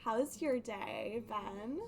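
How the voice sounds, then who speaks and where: A woman's voice: on the high side, very slow, creaky. A woman chatting casually on a game livestream in a rambling, giggly, slightly nervous way. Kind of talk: casual game stream chat.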